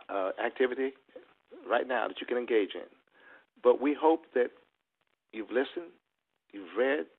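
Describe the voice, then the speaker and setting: A man giving a motivational talk in a preacher-like style, with emphatic, deliberate phrasing and repeated refrains. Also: mid-range voice. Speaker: motivational speaker. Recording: muffled lo-fi conference-call audio.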